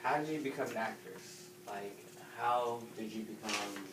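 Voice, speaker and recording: A man's voice: on the low side, unhurried. A man asking interview questions casually, often stopping to rephrase them. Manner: hesitant, rephrasing his questions. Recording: roomy, distant mic, noisy.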